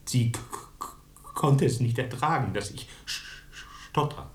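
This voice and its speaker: Slow, heavily stuttering young man. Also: mid-range voice, expressive, reverberant. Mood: hurt, matter-of-fact. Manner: heavy stutter, halting. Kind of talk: radio drama acting.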